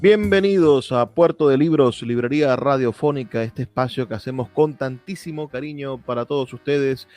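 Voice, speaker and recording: A man with a Venezuelan accent hosting a radio show in an earnest, measured, reflective way. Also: mid-range voice, a little mellow, no echo. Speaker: radio host. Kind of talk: radio show introduction and interview. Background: music.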